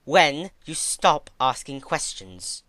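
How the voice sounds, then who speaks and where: A cruel pony matriarch acted by a man in a dramatic story reading. She is stern and commanding, with a forceful, lifted voice and slow, archaic pronouncements. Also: fairly high pitch, sing-song, no room sound, pitched above the performer's own voice, heavily acted. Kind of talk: dramatic fan fiction reading.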